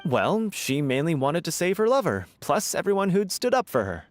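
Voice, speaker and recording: An eager, animated playwright. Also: male voice, fairly high pitch, normal speed, noisy background. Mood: earnest. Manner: animated, eager narration of a plot. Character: excitable male playwright, eager storyteller.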